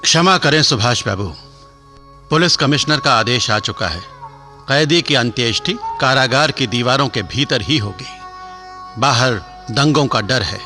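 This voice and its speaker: An actor in a radio play playing a formal, respectful Indian man who speaks in a measured, deferential way. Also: mid-range voice, animated, sharp tone, soft background music. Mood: polite, official.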